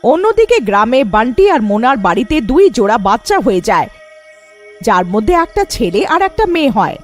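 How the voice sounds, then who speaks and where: A woman's voice: fairly high pitch, big pitch swings, a little fast, faint music behind. A woman narrating a scripted horror fairy tale as a storyteller.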